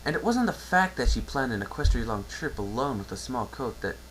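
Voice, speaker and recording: A man's voice: medium pitch, very animated, a little fast, a bit bright, low-quality recording. A man narrating a story aloud as a dramatic amateur reading. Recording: faint background noise and hum.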